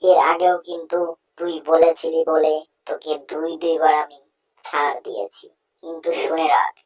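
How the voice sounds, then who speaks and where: A woman's voice: low-pitched, level tone, normal speed, a little mellow, low-quality recording. A woman voicing a stern male village moneylender in a cartoon story, menacing and domineering. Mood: threatening. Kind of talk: Bengali cartoon story dubbing.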